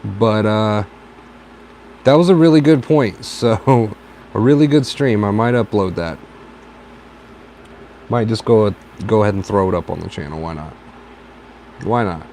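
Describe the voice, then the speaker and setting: A man with a fairly low voice, worn raspy and dry from tiredness, talks through advice on a casual live stream. He circles back and repeats key phrases. A faint hiss of background noise sits under the lo-fi stream audio. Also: measured pace, pauses often, a little mellow. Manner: reflective, repeats phrases for emphasis. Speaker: host answering viewer questions. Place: home stream from a tablet.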